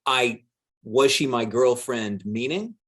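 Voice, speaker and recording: Man voicing male politician in deposition reading: dodging, pedantic, deliberate, guarded. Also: medium pitch, fairly bright tone. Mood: evasive. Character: evasive, hair-splitting male politician under questioning. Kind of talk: dramatic reading of a deposition transcript.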